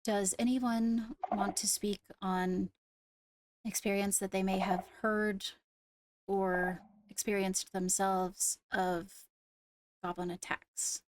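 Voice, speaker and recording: A woman playing a poised royal ruler in an improvised game roleplay, speaking formally and carefully with pauses to find words. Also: mid-range voice, level tone, lightly acted. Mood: grave, measured. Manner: measured, formal, hesitant between phrases. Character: poised female royal ruler addressing her court. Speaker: leader of the meeting.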